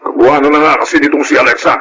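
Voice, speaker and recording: A man's voice: a little high, fairly even intonation, very fast, fairly bright tone, a little hiss or hum, lightly acted. An actor playing a callous, hot-tempered middle-aged Filipino father in a radio drama, scornful and boastful. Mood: irritated, demanding.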